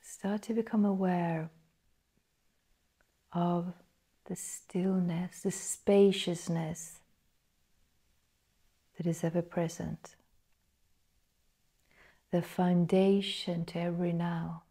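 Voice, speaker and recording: A woman guiding a meditation in a soft, breathy, partly whispered voice, measured and full of pauses. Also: lowish voice, level tone. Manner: slow, measured, pausing between phrases. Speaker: meditation guide. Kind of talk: guided meditation narration.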